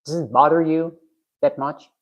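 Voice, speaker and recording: A woman's voice: sits low, moderate pace, dull audio. A woman from Russia, chatting frankly on her own live stream from her garage and answering viewers' comments. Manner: frank, blunt, restarts often. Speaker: livestream host. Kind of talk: casual live stream chat, reading comments. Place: home garage.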